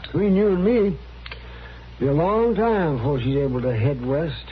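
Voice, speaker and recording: An actor playing a crusty but kindly older frontier doctor who grumbles and hems in an old-time radio western. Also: male voice, a little high, normal speed, natural voice. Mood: grave, confiding. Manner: grumbling, hemming, folksy. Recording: muffled, noisy old radio recording.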